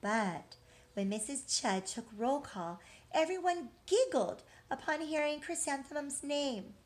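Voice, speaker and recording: A woman reads a children's story aloud in a fairly high voice. She goes very slowly and deliberately, stretching key words and addressing young listeners like a teacher. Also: lively intonation. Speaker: teacher reading a picture book aloud. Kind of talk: expressive children's read-aloud.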